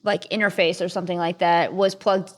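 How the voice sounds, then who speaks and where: A woman's voice: on the low side, level tone, brisk. A woman in her thirties, a podcast guest from North Carolina, chatting casually and laughing easily. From North Carolina, USA. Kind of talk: casual chat.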